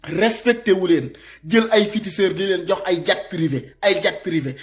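A man in his thirties with a Senegalese accent giving impassioned, informal video commentary, animated and repeating his points as he exhorts listeners. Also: high voice, moderate pace, faint music behind. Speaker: social media commentator. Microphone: phone at arm's length.

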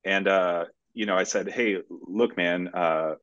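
Low-voiced man, halting, on a dull call. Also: a bit bright, no echo. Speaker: screenwriter being interviewed. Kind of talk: casual storytelling in an interview. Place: indoor room, video call. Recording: dull, dry video-call audio.

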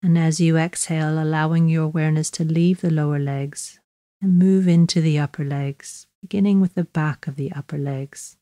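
Low, mellow woman guiding a meditation. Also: steady tone, dry recording, clean studio sound. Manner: slow, measured, gently instructive with long pauses. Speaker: mindfulness meditation guide. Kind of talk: guided body scan meditation narration.

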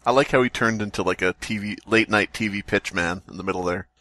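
A man's voice: on the low side, fast, pushed and bright. A man hosting a comedy podcast, reading aloud and riffing with sarcastic, profane, joking commentary. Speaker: podcast host.

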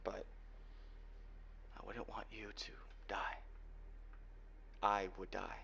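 A young man playing a guarded, protective cyberpunk hacker boyfriend in an ASMR roleplay, speaking earnestly and haltingly. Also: lowish voice, flat delivery, gravelly, pushed and bright, exaggerated voice. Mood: soft, reassuring. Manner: earnest, halting delivery with sighs. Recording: dull lo-fi home recording, faint noise.

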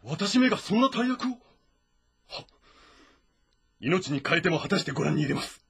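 A loyal military officer addressing his lord in a historical game. The voice is highish for a man and husky, earnest and formally deferential. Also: very animated, moderate pace, a bit bright, full character voice. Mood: fervent. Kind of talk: dramatic Japanese historical game voice acting.

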